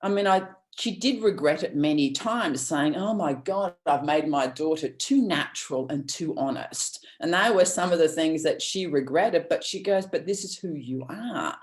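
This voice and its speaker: A woman's voice: low-pitched, animated, normal speed, hardly any pauses. A woman from Australia, giving an earnest, rambling motivational talk with many restarts over a video call. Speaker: guest speaker.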